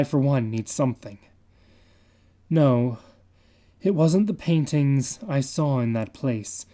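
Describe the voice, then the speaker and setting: Slow, dark-toned male dramatic reader. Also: medium pitch. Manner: measured, confiding, dramatic. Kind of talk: dramatic reading of a horror story.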